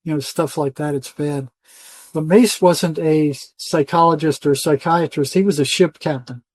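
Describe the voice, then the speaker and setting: A man's voice: a little high, steady tone, normal speed, dry recording. An older man talking as an interview guest in an insistent, emphatic way, repeating phrases for stress. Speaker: interview guest, retired psychotherapist. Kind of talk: conversational interview monologue.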